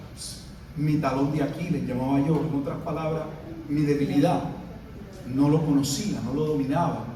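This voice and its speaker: A middle-aged man from Puerto Rico, with a Puerto Rican Spanish accent, giving a measured teaching sermon at a conference, with pauses and fillers. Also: fairly high pitch, mellow, slight background noise. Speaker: pastor. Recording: echoey room, mic at a distance.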